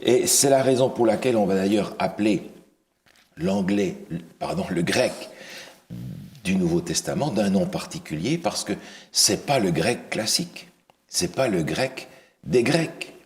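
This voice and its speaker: A man preaching a Bible study, asking the listeners questions and pausing on fillers and restarts. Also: fairly low pitch, big pitch swings, gravelly, a little mellow. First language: not English. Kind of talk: interactive Bible teaching.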